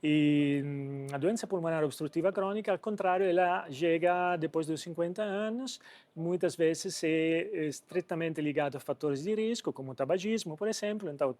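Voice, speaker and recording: A man's voice: on the high side, darkish tone, dry recording. A man from Italy speaking with an Italian accent as an interview guest, hesitating often as he searches for words. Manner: halting, hesitant delivery with repeated searching for words. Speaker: company president, interview guest. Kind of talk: interview answers on business.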